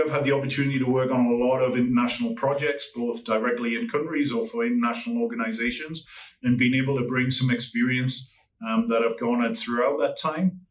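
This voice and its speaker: A man from England with a Newcastle accent, a consulting executive giving measured interview answers with frequent ums and restarts. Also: medium pitch, far from the mic, low-quality recording. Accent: Newcastle English.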